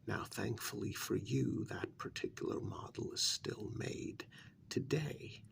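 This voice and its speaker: A man speaking softly in an ASMR role-play, half whispering, with a breathy, creaky voice and a deliberate, halting delivery in short phrases. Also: lowish voice.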